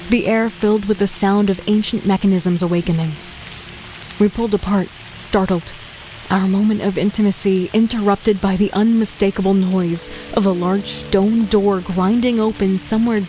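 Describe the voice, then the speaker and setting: A woman narrating an audio drama in the first person, steady, weary and understated. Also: medium pitch, low-quality recording. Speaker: narrator and lead. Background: music.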